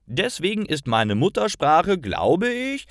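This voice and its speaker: A man's voice: high-pitched, huge pitch swings, slow, a bit bright, no echo, studio quality, pitched above the performer's own voice, heavily acted. A man voicing a cheerful, chatty man of about thirty-five who grins broadly. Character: friendly older-sounding thirty-something man, beaming.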